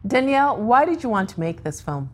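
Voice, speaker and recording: A woman hosting a broadcast interview, delivering scripted introductions in a measured way. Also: on the high side, big pitch swings. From Africa. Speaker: host.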